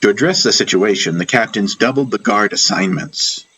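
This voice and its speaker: A man's voice: on the low side, moderate pace, sharp tone, breathy edge. A man reading a scripted first-person story as an audiobook narrator, with music behind him. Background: background music.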